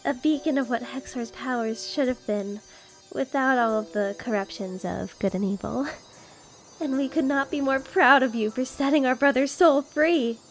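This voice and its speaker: Divine pony aunt voiced by a woman, high voice, proud, music beneath. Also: moderate pace, rough recording. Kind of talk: fan audio drama acting. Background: musical score.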